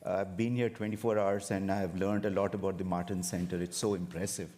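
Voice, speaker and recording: Low-voiced man on a conference panel. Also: little pitch movement, a little mellow. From India. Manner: measured, with hesitations.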